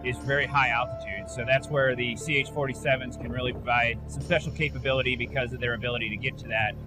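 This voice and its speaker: An American man in his forties, a military officer, speaking earnestly and in measured explanations in a documentary interview. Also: medium pitch, steady tone, over music.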